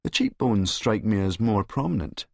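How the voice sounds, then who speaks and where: Low-voiced Scottish man narrating, dry close sound. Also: sing-song, moderate pace, gravelly, no echo. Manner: sardonic, sneering. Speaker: audiobook narrator and actor. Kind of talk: dramatic first-person audiobook narration.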